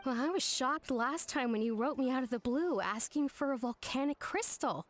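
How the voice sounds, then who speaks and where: A woman's voice: sits high, expressive, normal speed, soft background music. A woman voicing a friendly, helpful young woman in a video game, polite in manner. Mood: helpful, upbeat.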